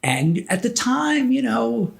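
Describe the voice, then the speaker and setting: A middle-aged American man reminiscing casually as a podcast guest, telling his stories in a halting, conversational way. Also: very high voice, very animated, gravelly. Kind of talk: casual reminiscing interview talk.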